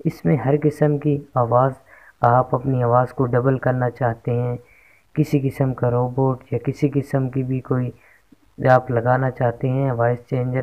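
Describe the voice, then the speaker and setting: A man's voice: medium pitch, a little mellow. A man narrating a casual how-to tech tutorial as its host, on a dull lo-fi recording. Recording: dull lo-fi microphone sound.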